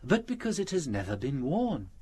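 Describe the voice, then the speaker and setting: An actor with a British accent playing a gentle, shrewd priest detective in a radio drama, chuckling and teasing as he patiently explains. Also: on the high side, huge pitch swings, normal speed, husky, heavily acted. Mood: explaining, amused.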